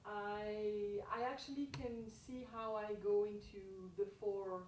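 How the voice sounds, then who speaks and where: French-accented woman in the audience, halting delivery, heard from afar in a reverberant room. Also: medium pitch, steady tone. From France. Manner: hesitant, soft-spoken, many fillers. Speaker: audience member. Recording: echoey room, far from mic, faint noise.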